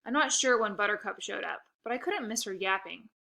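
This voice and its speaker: Animated, bright-voiced woman reading a storybook. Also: medium pitch, fairly quick, gravelly. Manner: expressive, occasional stumbles over words. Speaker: storybook reader. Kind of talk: animated children's book narration.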